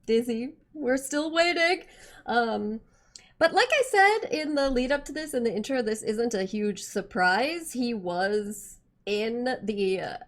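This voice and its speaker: A woman hosting a gaming reaction video, chatting casually and reacting with exclamations, her voice slightly hoarse from being sick. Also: a little high, slow.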